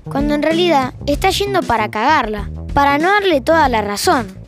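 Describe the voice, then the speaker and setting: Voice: high voice, lively intonation, normal speed. A young-sounding performer with an Argentine accent, narrating a scripted audio drama as a wry storyteller. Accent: Argentine Rioplatense. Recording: music behind the narration.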